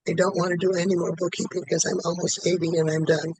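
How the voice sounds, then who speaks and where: Quick, flat-voiced man in his seventies. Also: high-pitched, no echo. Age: 70s. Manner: repeats one statement over and over in a quick, even rhythm. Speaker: client in a tapping session.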